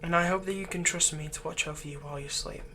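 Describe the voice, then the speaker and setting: Man playing a soft, breathy, possessive villain. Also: on the high side, fairly even intonation, quick pace, a little hiss or hum. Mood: gentle, reassuring. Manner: soft, soothing, often dropping to a breathy whisper. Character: possessive young male fantasy villain, tenderly soothing his captive. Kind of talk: ASMR roleplay, intimate scripted monologue.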